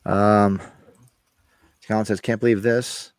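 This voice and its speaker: A man's voice: fairly low pitch, takes it slowly, dry recording, studio quality. A man co-hosting a casual horror podcast, joking and reading chat comments aloud, his voice strained and half gone. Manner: lively, joking, reads viewer comments aloud. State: losing his voice. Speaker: podcast co-host.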